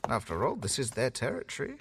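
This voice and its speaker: A man's voice: medium pitch, huge pitch swings, fast, gravelly, fairly bright tone, a little hiss or hum, heavily acted. An actor playing a theatrical, sinister male asylum master who speaks with grand formality, mocks his guests and laughs with glee. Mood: sly. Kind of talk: fan audio drama acting.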